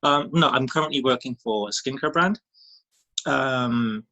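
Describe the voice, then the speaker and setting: A man's voice: medium pitch, a little slow, pushed and bright, dull audio. A British man in his thirties chatting as a podcast guest, rambling with lots of ums and restarts and laughing easily. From Britain. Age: 30s. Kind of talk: casual chat.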